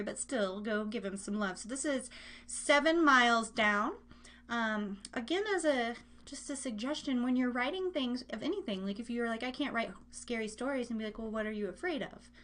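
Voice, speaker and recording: Chatty woman hosting a live online show, kinda high voice, rambly and self-deprecating. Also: a bit bright. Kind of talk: casual rambling live chat.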